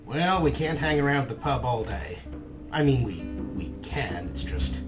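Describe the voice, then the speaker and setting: A man's voice: mid-range voice, animated, a little slow. A man hosting a let's play, casually commentating and reading dialogue options aloud. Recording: game music behind the voice.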